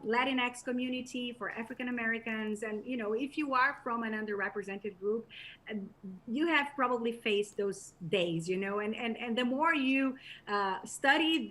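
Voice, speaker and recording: A woman's voice: on the high side, bright, forceful tone, low-quality recording. A woman from Brazil with a Brazilian Portuguese accent, a professor and journalist answering interview questions in a thoughtful way, with frequent restarts.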